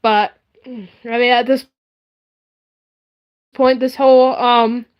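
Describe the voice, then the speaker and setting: A woman's voice: on the high side, little pitch movement, slow, smooth, muffled recording. A young woman chatting casually on a live stream in a rambling, hesitant way, often repeating herself.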